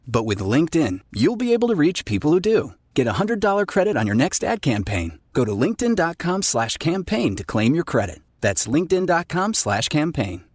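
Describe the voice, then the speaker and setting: A man's voice: on the high side, darkish tone, no room sound. A man reading a scripted advert voice-over as an announcer.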